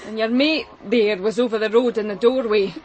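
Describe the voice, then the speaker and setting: A woman's voice: fairly high pitch, steady tone, normal speed, darkish tone, muffled recording, slight background noise, close to an everyday voice. Blunt Glaswegian cleaning woman played by a woman. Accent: Glaswegian Scottish. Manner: blunt, chatty, colloquial. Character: blunt, earthy female office cleaner, comforting and unfazed. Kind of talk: radio crime drama acting.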